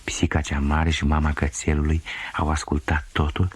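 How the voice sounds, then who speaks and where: A man narrating a children's story as an expressive storyteller. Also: very deep voice, slightly rough.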